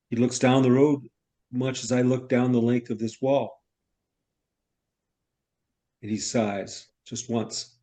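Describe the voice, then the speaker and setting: A man playing a middle-aged newcomer farmer in a stage play, musing and curious in a deliberate, ruminative manner. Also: medium pitch, dark tone, close to an everyday voice. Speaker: actor in a staged reader's theater.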